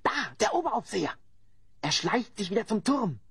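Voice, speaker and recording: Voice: mid-range voice, big pitch swings, moderate pace, creaky, fairly bright tone, slightly rough, no room sound, studio quality, heavily acted. An actor playing a frightened captive slave man who stammers anxious warnings in a fantasy audio drama. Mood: alarmed.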